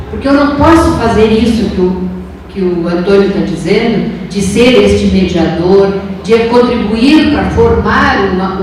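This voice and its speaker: A woman's voice: medium pitch, darkish tone. A Brazilian woman academic answering on a panel in an emphatic, didactic way, returning to key phrases. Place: large echoing room at a university event. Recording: very echoey room, distant mic, faint noise.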